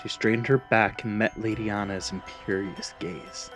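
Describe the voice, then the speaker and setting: A man reads a scripted fantasy tale as its narrator. His voice is on the low side, with dramatic storytelling delivery over a musical backdrop. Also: fairly even intonation, normal speed, over music.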